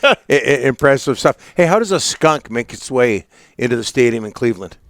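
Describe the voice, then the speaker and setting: A man's voice: mid-range voice, sing-song, fairly quick, no echo, studio quality. A man hosting a radio sports show, teasing his guest in an easygoing way. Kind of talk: casual radio chat.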